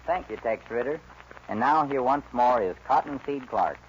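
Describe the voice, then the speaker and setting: A man's voice: medium pitch, lively intonation, normal speed. An American man announcing formally on a 1940s radio show. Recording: muffled 1940s radio recording with hiss.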